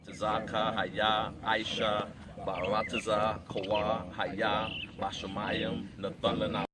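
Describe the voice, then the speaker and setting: A man teaching a Hebrew class, explaining in a measured way and reading scripture aloud. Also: fairly low pitch, little pitch movement, a loud crowd. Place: outdoor training camp.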